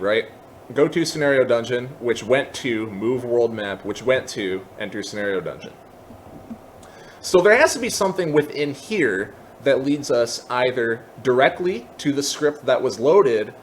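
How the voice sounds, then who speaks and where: A man with a medium-pitched voice hosts a casual software tutorial. He explains step by step in an informal, lightly self-deprecating manner. A faint layer of background noise sits behind his voice. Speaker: tutorial host.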